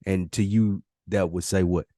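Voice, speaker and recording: A man's voice: low-pitched, steady tone, normal speed, warm tone, dry recording, clean studio sound. A man hosting a casual podcast conversation, speaking in slang and repeating phrases for emphasis. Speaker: podcast host.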